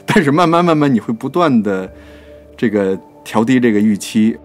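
A man's voice: mid-range voice, lively intonation, moderate pace, soft background music. A man co-hosting a literary talk, chatting casually, agreeing and prompting his partner. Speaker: podcast producer and co-host.

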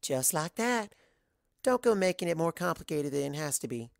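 A narrator voicing a friendly, chatty waitress pony who gently reassures and encourages in a read-aloud story. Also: medium pitch, big pitch swings, normal speed, vocal fry, dry recording, clean studio sound. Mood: reassuring.

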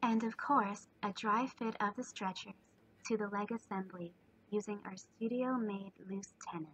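A woman's voice: mid-range voice, moderate pace, a bit bright, a little hiss or hum, low-quality recording. A woman giving scripted instructional narration for a woodworking video.

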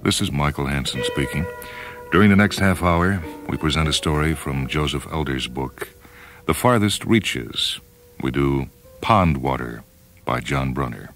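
A man reading a story as a radio host, in a measured, solemn, declamatory dramatic style. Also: rumbling low, fairly bright tone. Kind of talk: dramatic scripted storytelling narration. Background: orchestral and piano music.

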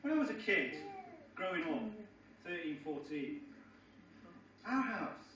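A middle-aged man giving a joking wedding speech, telling stories with deliberate pauses. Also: high voice, very animated, a bit bright. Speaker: wedding speaker, best man. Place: large function room, indoors. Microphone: far camera in the audience. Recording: echoey, muffled, distant, noisy crowd. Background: audience crowd and laughter.

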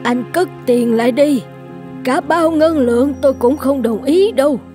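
An actress playing a careworn, gentle but firm village woman in a cartoon folk tale, plain-spoken. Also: high-pitched.

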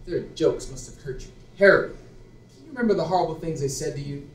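A man's voice: a little high, expressive, fast, a little hiss or hum, lightly acted. A young man on a small theater stage playing a shallow, smitten young man full of doubts, talking in an eager, earnest way. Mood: probing. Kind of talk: live stage acting. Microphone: far room mic. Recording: roomy theater sound from far off.

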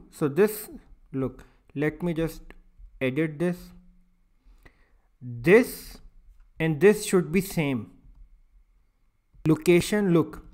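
Slow-paced man giving step-by-step instruction. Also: on the high side. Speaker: software tutorial instructor. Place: voice-over for a screen recording.

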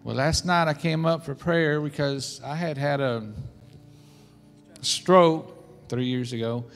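A man giving a measured, plain account of his story on a large church stage. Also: on the high side, clear, resonant tone, big-room sound, soft background music. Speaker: testifying congregant.

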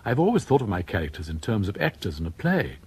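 An actor playing a genial, wry author who confides in listeners as a radio drama's narrator, with a husky voice. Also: male voice, lowish voice, big pitch swings, fast, a little mellow, heavily acted. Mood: wry, confiding. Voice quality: husky, breathy.

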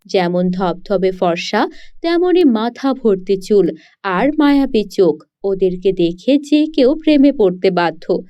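A woman's voice: a little high, clear, resonant tone, muffled recording. A woman narrating a scripted cartoon story as the storyteller.

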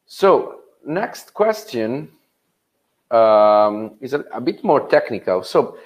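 A man chairing an online session, reading audience questions aloud in a measured way over a video call. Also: fairly high pitch. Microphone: video call webcam.

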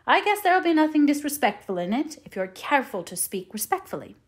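A woman voicing a stern, practical older female guardian in an audiobook, brisk and matter-of-fact. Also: high-pitched, very animated, pitched above the performer's own voice. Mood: grim, firm. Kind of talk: audiobook character voice.

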